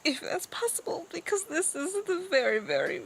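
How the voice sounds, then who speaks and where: A woman's voice: high voice, huge pitch swings, moderate pace, vocal fry, slight background noise, low-quality recording. A woman improvising as a player on a tabletop game podcast, hesitant and trailing off as she narrates and speaks in character.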